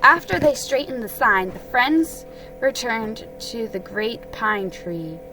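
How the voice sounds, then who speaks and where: A woman storyteller giving an expressive, deliberate dramatic reading of a children's story. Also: on the high side, over music, rough recording.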